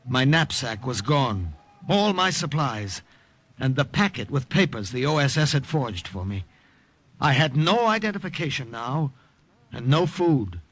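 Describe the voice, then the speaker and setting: A man's voice: medium pitch, a bit bright, heavily acted. A man playing an earnest, desperate wartime partisan agent in an old radio drama. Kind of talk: 1940s-50s radio drama acting and narration. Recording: hissy old radio recording.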